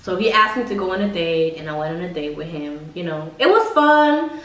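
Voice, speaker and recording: A young woman casually telling personal stories to camera in a blunt, expressive, slangy way with plenty of swearing. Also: fairly low pitch, a little fast. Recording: echoey room, mic at a distance.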